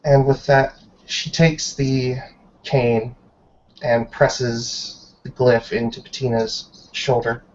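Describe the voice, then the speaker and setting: A man narrating a tabletop roleplay game as game master, deliberately and with long pauses. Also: medium pitch, flat delivery, a little mellow, a little hiss or hum. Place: online group video call. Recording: muffled lo-fi online call.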